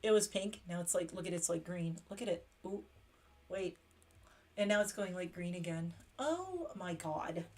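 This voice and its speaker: A woman hosting a casual makeup livestream, chatty and excitable, laughing often. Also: lowish voice, normal speed. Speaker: beauty livestream host.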